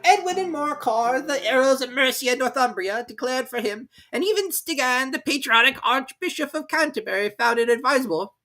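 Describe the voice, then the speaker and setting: A woman's voice: high voice, animated, normal speed, hardly any pauses, bright, forceful tone, soft background music, pitched above the performer's own voice. A woman voicing a self-important, testy storybook mouse who lectures in a pompous, schoolmasterly way. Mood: pompous reciting.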